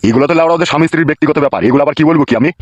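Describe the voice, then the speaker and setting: A man voicing a weary old father in a cartoon, gruffly dismissive and crude. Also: a little high, rapid-fire, no room sound, highs cut off, close to an everyday voice. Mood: exasperated. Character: weary, grumbling old father, male head of household. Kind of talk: comic Bengali cartoon dialogue.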